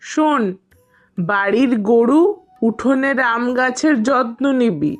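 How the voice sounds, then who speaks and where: Woman as a slow-talking, fussy cartoon mother. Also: a little high, lively intonation, soft background music. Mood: fussing, admonishing. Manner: admonishing, motherly. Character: fussy, caring village mother instructing her daughters. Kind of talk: cartoon voice acting.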